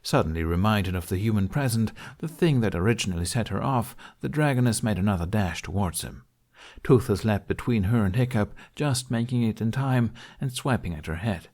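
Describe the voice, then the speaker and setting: A man narrates a fantasy audiobook from a script. His voice is fairly low, with a noticeable creak and gravel in it. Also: lively intonation, brisk, darkish tone.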